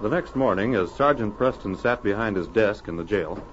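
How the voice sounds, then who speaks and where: A man's voice: lowish voice, normal speed. A man narrating an old radio adventure serial in a formal, dramatic storytelling style. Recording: muffled old radio recording with music.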